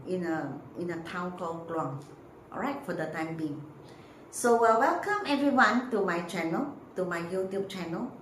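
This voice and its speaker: A woman's voice: mid-range voice, fairly bright tone, roomy sound, slight background noise, poor audio. A woman with a Malaysian English accent teaching a grammar lesson, explaining step by step with many fillers and restarts. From northern Peninsular Malaysia. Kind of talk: recorded online grammar lesson. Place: classroom with a whiteboard.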